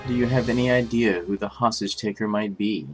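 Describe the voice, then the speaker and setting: Guy doing dignified stern prosecutor from a game dub, deep-ish voice, very formal, music in back. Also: steady tone, lightly acted, low-quality recording. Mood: composed. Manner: formal, deliberate. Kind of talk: scripted anime-game fan dub acting. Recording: music behind the voice.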